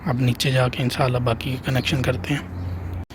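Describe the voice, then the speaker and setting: A man's voice: medium pitch, flat delivery, normal speed. A man giving a plain, unscripted, step-by-step hands-on tech tutorial as an installer. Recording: clearly noisy room ambience.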